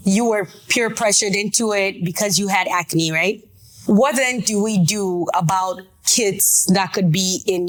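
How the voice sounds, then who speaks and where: Chatty woman hosting a talk show. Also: mid-range voice. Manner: chatty, direct, with quick asides. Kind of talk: casual talk show discussion.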